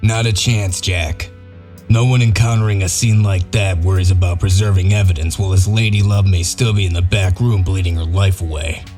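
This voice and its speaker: A man playing a gruff, shrewd wolf detective in a comic dub, explaining things in a methodical, sardonic way. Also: sits low, fairly even intonation, moderate pace, a little mellow, faint music behind, close to an everyday voice.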